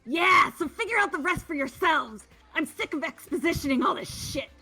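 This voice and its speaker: A woman's voice: high voice, animated, normal speed, sharp tone, soft background music, full character voice. A woman playing a crude, bored, flippant young female villain in a fan-voiced game. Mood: bored irritation. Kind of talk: fan dub of a visual novel game.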